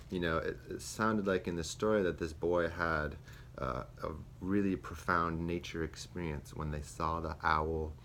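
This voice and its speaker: Deep-voiced young man, hesitant reflection. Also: a bit bright. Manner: hesitant, many ums and restarts. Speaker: student teacher. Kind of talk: spoken self-reflection. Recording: faint background noise.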